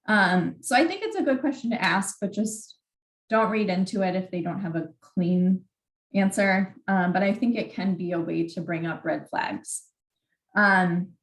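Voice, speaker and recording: Roomy echoey recording, young woman, medium pitch, informal workshop advice, candid, conversational. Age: young adult. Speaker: graduate student mentor leading a workshop.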